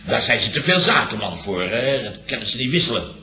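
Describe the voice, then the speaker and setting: An actor playing a blustering, self-important middle-aged boss who tells indignant tall tales emphatically in a Dutch radio comedy. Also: male voice, mid-range voice, sing-song, a little fast, gravelly, bright, forceful tone, soft background music, exaggerated voice. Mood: smug. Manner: emphatic, ends phrases on questioning tags. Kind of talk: 1960s-70s Dutch radio comedy acting. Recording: roomy old radio comedy recording.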